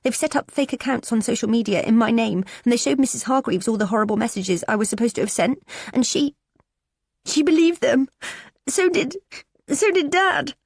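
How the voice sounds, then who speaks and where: A woman's voice: animated, normal speed, husky, dry recording, studio quality. A woman voicing a distressed teenage girl who is tearful and pleading. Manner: tearful, pleading, high and strained.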